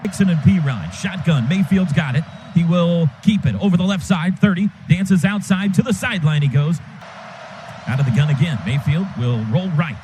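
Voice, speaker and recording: A man doing live play-by-play radio commentary of a football game from a stadium booth, in rapid clipped calls that surge on big plays. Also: a little high, a little mellow. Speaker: play-by-play announcer. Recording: noisy live stadium broadcast.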